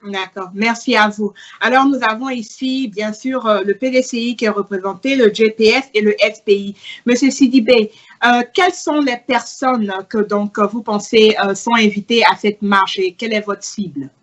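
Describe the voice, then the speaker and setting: A woman's voice: a little high, moderate pace. A woman hosting an interview, asking polite questions with some hesitation and fillers. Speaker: interviewer, host.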